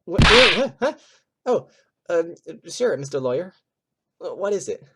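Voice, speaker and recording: A man's voice: high-pitched, big pitch swings, unhurried, pushed and bright, breathy edge, exaggerated voice. A man playing a nervous, easily flustered animal witness who stammers and hesitates in an audio drama. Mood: nervous, startled.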